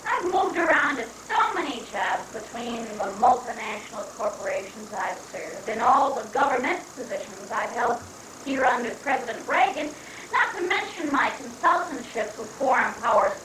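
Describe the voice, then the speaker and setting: A woman playing a muddled, bumbling government secretary, halting and flustered, in an amateur reading of a stage satire. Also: on the high side, animated, a bit bright. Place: room at a live library reading. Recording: echoey, distant, noisy room recording.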